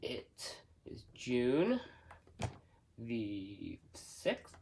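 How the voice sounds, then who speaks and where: A man doing an unscripted product walkthrough, halting as he thinks aloud step by step. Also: medium pitch, wildly swooping pitch, gravelly, a little mellow. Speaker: hobbyist product reviewer. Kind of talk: unscripted unboxing and setup walkthrough.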